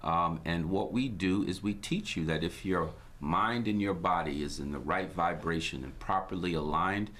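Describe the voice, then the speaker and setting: A very deep-voiced man from Guyana hosts his own broadcast. He talks in a measured, sermon-like cadence, weaving in scripture and uplifting sayings. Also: a bit bright. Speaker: host. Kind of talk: inspirational broadcast talk.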